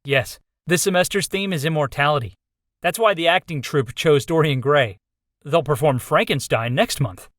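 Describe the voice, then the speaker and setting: A man's voice: a little high, normal speed, fairly bright tone, no room sound, studio quality, close to an everyday voice. A man playing an earnest, loyal young college student in a visual novel, in a plain-spoken way. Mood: matter-of-fact. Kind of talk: visual novel character dialogue.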